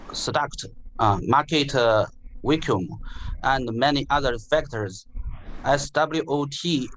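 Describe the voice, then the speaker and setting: Brief: male student from China, very slow and halting, full of uh fillers and restarts, reading figures on a video call. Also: medium pitch, fairly bright tone, low-quality recording. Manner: halting, many pauses and uh fillers, repeats words. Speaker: student presenter. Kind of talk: class group presentation.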